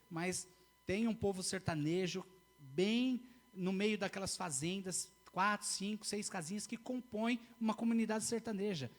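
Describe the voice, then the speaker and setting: High-voiced preacher. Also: male voice. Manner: earnest, repeats key phrases, restarts mid-sentence. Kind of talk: sermon. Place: church.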